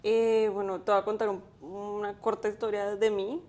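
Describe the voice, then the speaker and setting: Woman in her twenties, mellow tone, reflective interview guest. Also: medium pitch, little pitch movement, moderate pace. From Colombia. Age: 20s. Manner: thoughtful, with many fillers. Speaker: guest, graphic designer.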